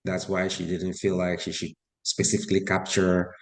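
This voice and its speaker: A man's voice: sits low, monotone, normal speed. A man, a guest parent giving a personal account in conversation. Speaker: guest parent on a talk show.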